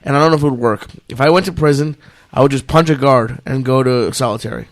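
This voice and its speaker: A man's voice: mid-range voice, fast talker. A man guesting on a podcast as a comedian, talking casually with lots of stammers and nervous, self-deprecating restarts.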